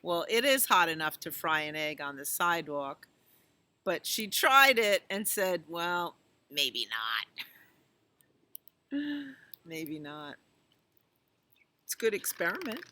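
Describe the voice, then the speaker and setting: A woman reads a picture book for young children at an unhurried pace. Her voice is somewhat low for a woman, yet bright and clear-cut in tone. She is expressive and chatty, addressing the listeners directly. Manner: animated, exclamatory, asks listeners questions. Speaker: children's storytime reader at a library.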